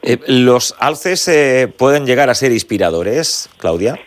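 Male radio host, medium pitch, curious questions dotted with fillers. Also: expressive, slow. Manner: curious, asks with fillers like "eh" and "oye". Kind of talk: radio interview.

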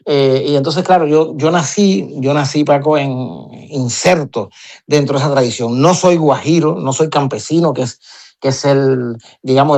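Middle-aged cuban guy talking about verse improv, mid pitch, keeps restarting words. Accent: Cuban Spanish. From Cuba. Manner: animated, lots of repeated restarts. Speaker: guest, improvising poet. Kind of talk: interview answers.

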